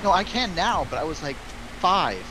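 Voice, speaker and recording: A man with a fairly high voice and very animated pitch chats casually on a clearly noisy internet call. He jokes and tells little anecdotes in a halting way. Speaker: guest on a gaming voice call. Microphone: Skype call. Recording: noisy Skype call audio.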